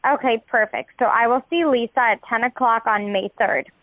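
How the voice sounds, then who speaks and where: A woman's voice: fairly high pitch, normal speed, no echo. Female receptionist on a narrow phone line. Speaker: salon receptionist. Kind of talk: polite customer-service phone conversation.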